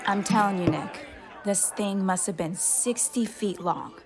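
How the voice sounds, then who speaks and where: A woman voices an earnest, excited townswoman who repeats what she has heard. Music plays under her lines. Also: medium pitch, measured pace, close to an everyday voice. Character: eager gossiping woman insisting on a sighting. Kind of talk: amateur audio drama acting.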